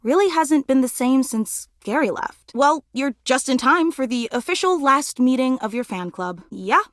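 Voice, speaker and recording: A young woman voicing an excitable, starstruck female fan character in a video game, with dramatic, gushing delivery and casual youthful phrasing. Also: high voice, moderate pace, dry recording, clean studio sound. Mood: hurt, disillusioned. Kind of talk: video game voice acting, romance dialogue.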